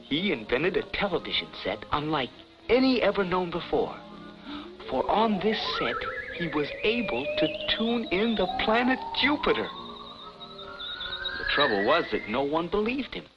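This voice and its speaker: A whimsical, mock-solemn storybook narrator for vintage television. His voice is slightly high and very animated, with music playing behind it on a dull old soundtrack. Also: normal speed. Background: loud music.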